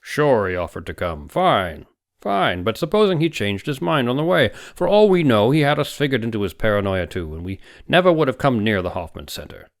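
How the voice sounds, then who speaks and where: A man's voice: sing-song, brisk. A man voicing a huge, domineering older doctor in an audiobook, heavy-voiced, emphatic and insistent. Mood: stern, forceful. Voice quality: heavy, booming. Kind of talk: audiobook character dialogue.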